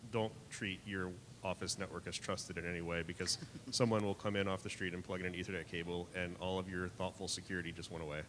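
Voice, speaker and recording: A man with a very deep voice gives a confident panel answer, laying out points one after another. A long hall reverb trails his words. There is a faint rumble behind him. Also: little pitch movement, fast, few pauses, a bit bright.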